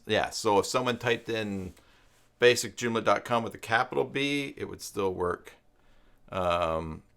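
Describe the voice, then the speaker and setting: Low, creaky-voiced Canadian man. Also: a bit bright. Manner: halting, musing, reads aloud and mutters to himself. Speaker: live stream host, web tutorial presenter. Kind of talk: casual live tutorial chat, thinking aloud.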